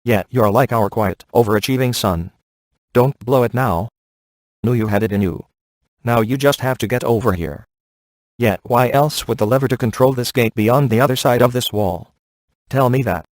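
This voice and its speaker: A sardonic male ghost hunter from a video game, voiced by a man, in a middle-pitched voice. He is cocky and laid-back, tossing off deadpan, sarcastic remarks in a very dry recording. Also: normal speed, dry recording, lightly acted. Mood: dry, wisecracking.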